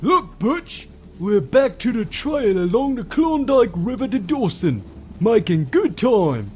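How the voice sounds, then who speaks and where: A man voicing a whiny, dim Wild West outlaw in a cartoon comic dub, with drawn-out cowboy talk. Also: high-pitched, sing-song, noisy background, exaggerated voice. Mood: amazed.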